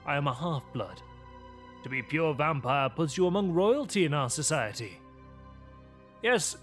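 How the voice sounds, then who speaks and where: A cold, aristocratic vampire played by a man speaks in a fairly high male voice. The delivery is theatrical, formal and menacing, suited to gothic horror audio drama, with music underneath. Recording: music behind the voice.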